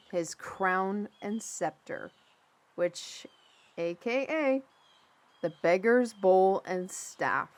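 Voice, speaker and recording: A woman playing a plain-spoken mentor in a fantasy audio drama, telling things in a folksy, measured way with dramatic emphasis. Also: mid-range voice, lightly acted. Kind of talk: fantasy audio roleplay, storytelling.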